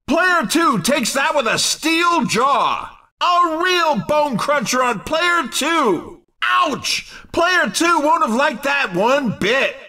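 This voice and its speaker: An excitable, cheeky race commentator for a video game. His voice sits extremely high and rings out forcefully. He delivers each punchy exclamation unhurriedly, playfully calling the action. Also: distant mic, exaggerated voice.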